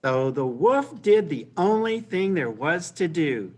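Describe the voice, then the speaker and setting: A man's voice: a little high, sing-song, slow. A man narrating a scripted story in reader's theater style.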